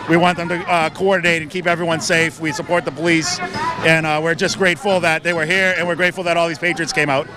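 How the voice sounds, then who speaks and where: Man, rally organizer, emphatic, slightly high voice, fillers, outdoor street interview. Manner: firm, emphatic, with filler pauses. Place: outdoors at a city street protest.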